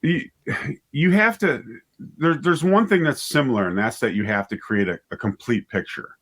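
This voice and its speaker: A man being interviewed as a writer and director, explaining things casually and haltingly, often rephrasing himself as he goes. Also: medium pitch, fairly bright tone. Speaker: interview guest, writer and director of audio dramas.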